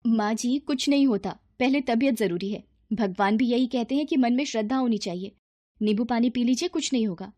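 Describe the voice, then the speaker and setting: A woman voicing a gentle young daughter-in-law in a moral story, polite and earnest in manner. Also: on the high side, brisk, no echo, lightly acted. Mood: concerned, caring.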